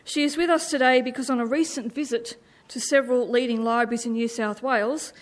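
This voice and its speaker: A woman host giving a formal, smooth introduction of a guest speaker. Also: a little high, fairly even intonation, a little fast.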